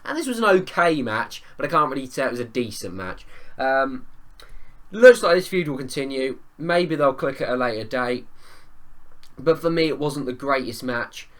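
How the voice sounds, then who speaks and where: A man with a hoarse voice from a cold, giving an enthusiastic, opinionated and rambling spoken review of a wrestling show. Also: mid-range voice, expressive, normal speed, fairly bright tone. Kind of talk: casual spoken review vlog.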